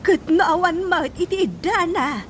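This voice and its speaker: Woman acting a high-voiced fretful grandmother. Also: lively intonation, a little slow. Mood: fretful chiding. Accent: Ilocano. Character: fretful older grandmother, chiding her daughter. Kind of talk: Ilocano radio drama acting. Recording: faint background noise.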